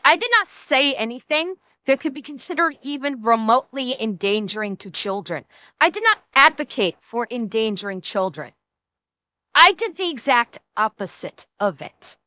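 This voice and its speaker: Indignant bright-voiced woman streamer. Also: a little high, smooth, no room sound. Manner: indignant, swearing, talks to chat. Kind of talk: live stream chat with viewers.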